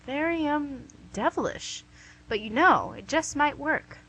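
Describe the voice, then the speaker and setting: Lady doing a bossy sly devil, bright sharp voice, radio comedy. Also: sits high, expressive, measured pace, a little hiss or hum, rough recording. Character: female devil, imperious, sly, impatient boss. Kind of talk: amateur radio comedy acting.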